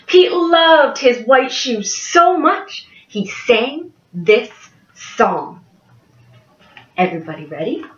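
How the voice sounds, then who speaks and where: A young adult woman reading a picture book aloud to children in an expressive way. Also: fairly high pitch, slow pace, echoey room, lo-fi sound. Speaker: early childhood education student reading to children. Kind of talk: picture-book read-aloud with sing-along.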